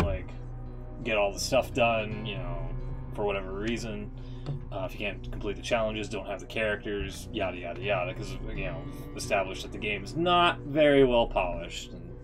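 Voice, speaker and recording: A man giving casual gaming commentary as a streamer, in a rambling, hesitant way that often interrupts itself. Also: fairly low pitch. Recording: music playing behind the voice.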